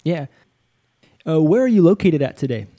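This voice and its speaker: Mellow-voiced male podcast host. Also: fairly high pitch, sing-song, normal speed. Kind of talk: prayer and interview.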